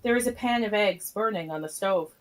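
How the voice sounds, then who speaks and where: A woman's voice: medium pitch, fairly quick, poor audio. A woman reading stage directions as narrator in a plain, matter-of-fact way. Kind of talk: scripted narration of a live script read.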